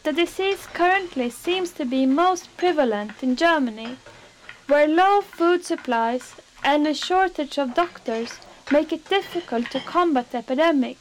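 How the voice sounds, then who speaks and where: A high, anxious young kitchen worker, played by a woman in a radio play, nervous and apologetic, with faint sizzling behind her. She pleads in a bright, light voice. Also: animated, a little slow, a little hiss or hum, rough recording. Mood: earnest. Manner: nervous, pleading, then earnest reading aloud. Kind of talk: radio drama acting.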